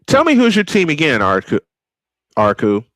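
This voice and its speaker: A man's voice: a little high, huge pitch swings, normal speed, a bit bright, no echo. Man, old-school play-by-play caller, folksy, dry close studio mic. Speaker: play-by-play announcer. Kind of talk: live baseball play-by-play.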